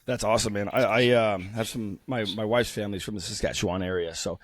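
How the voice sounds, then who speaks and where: A man hosting a podcast interview while losing his voice from a cold, chatting in a friendly, halting way with many fillers. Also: lowish voice, steady tone, no room sound. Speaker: podcast host. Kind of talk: casual interview chat.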